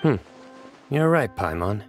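An actor playing a stern young investigator in a video game, speaking in a measured, serious, deliberate way. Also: male voice, lowish voice, big pitch swings, loud music behind the voice. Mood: calm, commanding.

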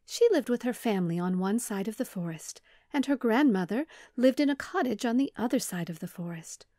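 A woman's voice: on the high side, animated, fairly quick, warm tone, no room sound, clean studio sound. A woman in her thirties, a children's storyteller, narrating a scripted tale expressively.

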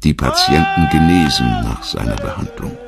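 A man reading a scripted documentary narration in a measured, dramatic storytelling manner. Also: extremely low pitch, expressive, gravelly, over loud music.

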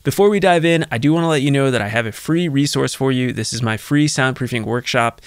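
A North American man with a smooth, clean voice presents a how-to tutorial. He explains in a conversational way, recorded close and dry at a desk microphone. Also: medium pitch, no room sound. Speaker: soundproofing instructor and video host. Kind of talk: instructional screen-share tutorial. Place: indoor home studio, close up. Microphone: desk microphone close to the mouth.

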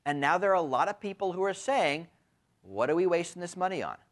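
Lively bearded man lecturing. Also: sits high, brisk. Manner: frequent tag questions, lively emphatic delivery. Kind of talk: university lecture. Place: lecture room with window blinds. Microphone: lapel mic.

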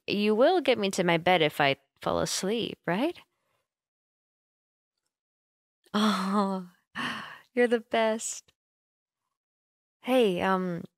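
A woman plays an affectionate young female roommate in an ASMR comfort roleplay. She talks slowly and gently, with plenty of creak and lively swings of pitch, teasing and a little sleepy, very close to the mic. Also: no echo. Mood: affectionate, drowsy. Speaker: roleplay voice actor. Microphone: close microphone, dry.

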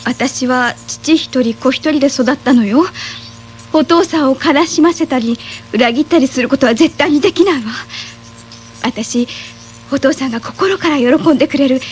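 An actress playing a sweet, headstrong young daughter in love, cutesy and pleading, in a comic radio drama. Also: female voice, high voice, moderate pace, slight background noise, lightly acted. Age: young adult.

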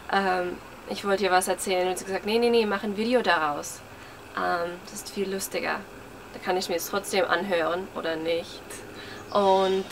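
A twenty-year-old woman chatting casually and rambling to a camera, hesitant and searching for words, with a self-deprecating manner. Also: mid-range voice. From Germany, living in New York. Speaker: vlogger. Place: outdoors on a porch among trees. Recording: outdoor recording with clear ambient and traffic noise. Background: outdoor ambience, passing cars.

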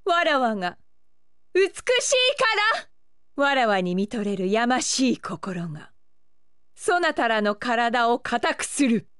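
An imperious anime game pirate empress, high voice, slow regal delivery, really swoopy. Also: female voice, very animated, a bit bright, smooth, no echo, clean studio sound, exaggerated voice. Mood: haughty, commanding. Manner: imperious, archaic regal diction. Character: haughty, lovestruck young pirate empress, imperious beauty. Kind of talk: video game anime character voice acting.

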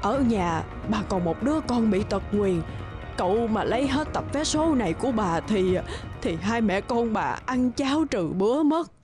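A woman acts out an elderly lottery seller in a cartoon drama. She is humble and apologetic, pleading in halting phrases at a medium pitch. Music is clearly heard behind her. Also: lively intonation, close to an everyday voice. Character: poor, meek, pleading old woman selling lottery tickets. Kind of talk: animated drama dialogue.

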